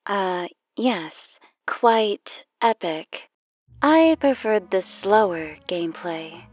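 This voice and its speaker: A woman's voice: medium pitch, animated, extremely slow, no room sound, muffled recording. A woman voicing a serene, motherly space princess in a fan cartoon, speaking politely with refined, composed diction.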